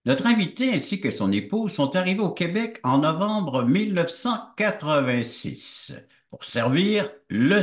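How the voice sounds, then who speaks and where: Brief: male host, animated pitch, slightly high voice, roomy echo. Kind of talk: hosting an interview.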